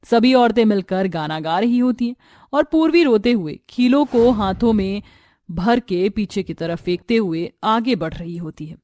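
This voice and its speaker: A woman's voice: mid-range voice, lively intonation, normal speed, a little mellow. A woman reading scripted narration of a family drama as a storyteller.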